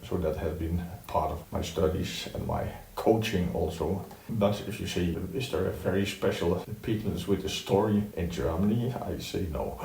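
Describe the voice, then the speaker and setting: An older Dutch-born man with a low, mellow voice talks in a plain, direct manner, with a dry humor to his answers. He sounds set back from the mic in a roomy space. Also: expressive, moderate pace, gravelly, far from the mic. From Netherlands. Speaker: interview guest, retired professor. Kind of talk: reflective interview answers.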